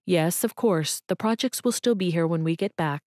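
A calm, kind businessman, voiced by a woman reading. He speaks gently and evenly in a lowish voice. The sound is close and dry. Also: no room sound, natural voice. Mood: gentle. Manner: gentle, measured.

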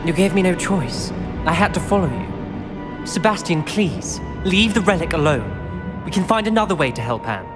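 An actor playing a stubborn, principled teenage wizard in a game cutscene, earnest and clipped. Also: male voice, high-pitched, lively intonation, normal speed. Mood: pleading. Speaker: game character. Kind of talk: video game cutscene acting. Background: music.

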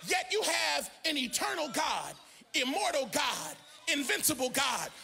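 A middle-aged American man preaching an animated, emphatic sermon as a pastor, theatrical, into a handheld microphone in a large church auditorium. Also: very high-pitched, unhurried, gravelly, faint music behind. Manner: emphatic, theatrical, often shouting and half-singing.

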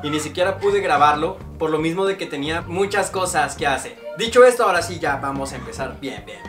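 A young man hosting a casual vlog interview at home, chatty and lively as he asks questions. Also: a little high, a little fast. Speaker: YouTuber host, her editor and manager. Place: small room at a home, plain backdrop. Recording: roomy echo with music behind.

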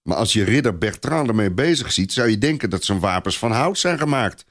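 A man's voice: fairly low pitch, animated, a little fast, a bit bright. A man voicing a young, good-humoured, admiring squire in a storybook tale.

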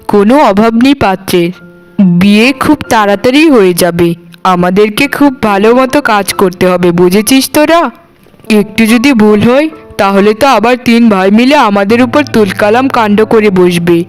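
A sensible eldest sister-in-law from a family cartoon voiced by a woman. Her voice is crisp and medium in pitch, with an earnest, measured, admonishing delivery. Soft music runs behind it. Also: lightly acted, low-quality recording. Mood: instructing. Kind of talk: scripted cartoon dialogue.

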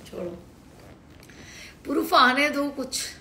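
A hoarse woman from Haryana ranting crudely and combatively on a late-night live stream from home, swearing heavily into her phone. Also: sits high, expressive, slow pace, pushed and bright, slight background noise. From Haryana, India.

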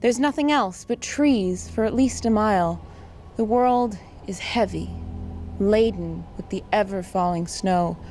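A woman's voice: medium pitch, a little slow, warm tone, slight background noise. A woman reading scripted narration and stage directions for an audio drama.

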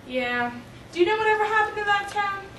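Earnest doctor, played by a teen girl, school skit, swooping high voice, noisy room pickup. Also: lively intonation, fairly quick, pushed and bright, recorded from a distance, full character voice. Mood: concerned. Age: young teenager. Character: concerned doctor chatting with a colleague, woman. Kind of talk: amateur school skit acting. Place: small office meeting room indoors. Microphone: camera across the room. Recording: echoey, noisy camcorder from afar.

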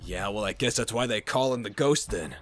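A man's voice: on the low side, a little fast, a bit bright, slight background noise, lightly acted. A man voicing an anxious, defiant marine pinned down in an anime war fan dub, strained and urgent. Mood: bitter, anxious.

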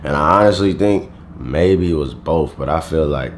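A young man talks to his camera from his bedroom, still groggy from sleep. His voice sits very low and sleepy, and he rambles in casual slang with plenty of fillers. Faint room noise hums under the camera mic. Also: moderate pace. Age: young adult. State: groggy, just woke up. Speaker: vlogger. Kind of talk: casual vlog talking to camera. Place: bedroom at home, indoors.

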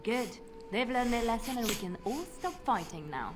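An actress playing a calm, devout young chantry sister in a fantasy video game, gentle and formal with quiet steel. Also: female voice, a little high, moderate pace, natural voice. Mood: firm relief. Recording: game ambience and noise behind.

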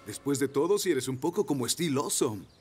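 A man voicing a steady, reassuring father rescue motorcycle in a cartoon dub. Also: mid-range voice, a little fast, over music, natural voice. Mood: calm, reassuring.

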